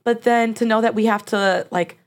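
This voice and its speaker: A woman's voice: on the high side, fairly even intonation, normal speed. A woman therapist co-hosting a casual discussion, speaking frankly and earnestly and stopping to clarify her points for listeners. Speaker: therapist and co-host.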